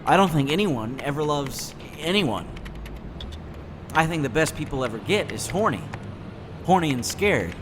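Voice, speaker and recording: A man voicing a gloomy, cynical sixteen-year-old boy in a deliberate, disaffected monologue for a comic dub. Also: on the high side, big pitch swings. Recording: clearly noisy background.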